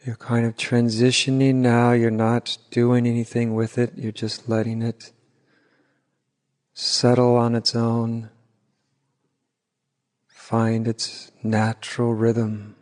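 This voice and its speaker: Male meditation teacher, gentle guided practice, very slow pace, level intonation, long pauses, long hall reverb. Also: medium pitch, monotone. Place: large reverberant room at a retreat. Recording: hall reverb, live retreat recording.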